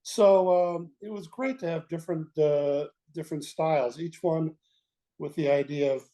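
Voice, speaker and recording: An older man with a mellow, relaxed voice sits as a guest in an interview. He looks back on his career in a measured, halting way, searching for the next phrase as he tells his stories. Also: fairly high pitch. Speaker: guest, veteran radio executive. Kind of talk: reminiscing interview talk.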